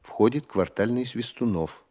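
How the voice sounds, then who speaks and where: A man's voice: medium pitch, measured pace, mellow. A man reading scripted scene descriptions as the narrator of an audio play.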